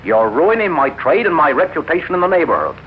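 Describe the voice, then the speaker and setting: A man's voice: on the high side, sing-song, fast. A man acting an earnest, long-suffering young husband in a dramatic old radio play. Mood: exasperated. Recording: dull, hissy old radio recording.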